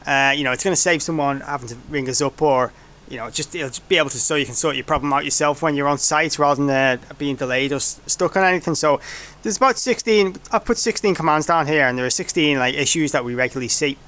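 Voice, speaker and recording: Slightly higher-pitched man, informal tech demo. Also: fast talker, few pauses, pushed and bright. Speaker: product developer presenting a demo. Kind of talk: informal technical walkthrough.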